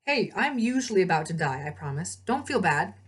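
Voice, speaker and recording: A woman's voice: on the low side, sing-song, a little fast, husky. A woman reading the part of an earnest teenage boy hero in a storybook, casual with some sarcasm. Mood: reassuring.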